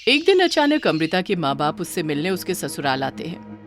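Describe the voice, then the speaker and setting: A woman's voice: lowish voice, sing-song, moderate pace, over music. A woman narrator reading a scripted moral story.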